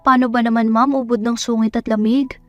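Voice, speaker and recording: A woman's voice: fairly high pitch, flat delivery, fairly quick, dry recording, lo-fi sound, soft background music, natural voice. A woman voicing a polite, chatty waitress in an audio drama, deferential as she explains things. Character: polite, chatty young waitress at a resto bar.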